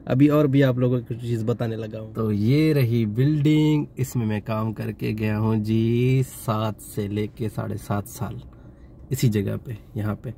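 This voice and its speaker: A man's voice: lowish voice, fairly even intonation, a little slow, gravelly. A man giving casual, informal vlog commentary on the sights around him, often exclaiming in admiration. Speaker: vlogger and delivery driver. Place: in a moving car and outdoors. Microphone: phone held in hand, no external mic. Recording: loud traffic and outdoor noise, no microphone.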